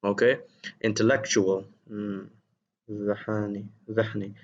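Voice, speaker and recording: A male teacher giving a recorded vocabulary lesson to his students, gentle and encouraging, pausing often with ums and repeated words. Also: lowish voice, flat delivery, lo-fi sound.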